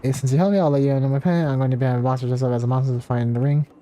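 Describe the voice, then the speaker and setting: Boastful male fight promoter in a video game, read by a man, mid pitch, mellow, self-important. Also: steady tone, rapid-fire, lightly acted. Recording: game music behind.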